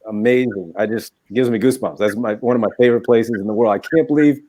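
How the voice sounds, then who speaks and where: A man's voice: mid-range voice, fast talker, dark tone, dry recording, low-quality recording. Enthusiastic male attorney guest. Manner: enthusiastic, storytelling. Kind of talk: casual radio chat.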